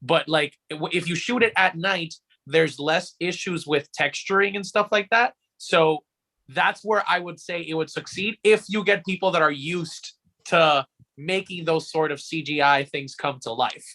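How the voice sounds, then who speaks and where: Casual man with a bright, crisp-edged voice. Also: a little high, steady tone, a little slow, poor audio. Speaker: podcast co-host. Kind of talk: casual chat.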